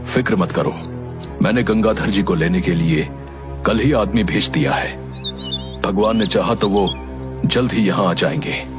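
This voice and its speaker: Cartoon family man male actor: concerned, sincere, brisk and plain, music underneath. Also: low voice. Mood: earnest concern. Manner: quick, plain delivery. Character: worried, earnest male head of a village household.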